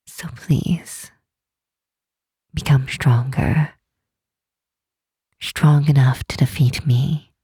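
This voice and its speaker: A woman plays a powerful demon queen who is gentle, earnest and protective. Her low voice is very breathy and half-whispered, with a dark, mellow tone. She speaks slowly and tenderly, close and intimate, in an ASMR roleplay. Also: dry recording, exaggerated voice. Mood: earnest, pleading. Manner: slow, tender, solemn, with deliberate pauses. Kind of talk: ASMR roleplay, soft intimate monologue to the listener.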